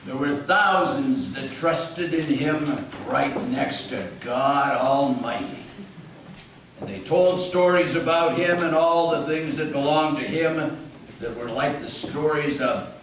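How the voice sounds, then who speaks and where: Folksy New England yarn-spinner male actor, unhurried and dramatic, higher-pitched, stage reading recorded from afar. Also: a bit bright. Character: folksy New England storyteller recalling a local legend. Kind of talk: theatrical folk-tale narration. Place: meeting house stage. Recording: echoey hall, distant mic, faint noise.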